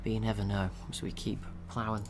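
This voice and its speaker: A young man giving relaxed, chatty commentary over a strategy game he is watching, laughing to himself now and then. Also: on the low side, a little fast, noisy background. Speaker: gaming channel host.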